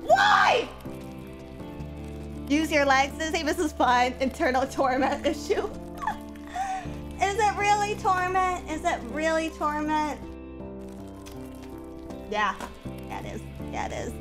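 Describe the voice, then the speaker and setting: Woman live-streamer, high voice, stuffy from a cold, mumbles then yells, game music clearly behind. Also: takes it slowly, long pauses. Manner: swings from mumbling to loud excited yelling. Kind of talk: casual live-stream gaming chat.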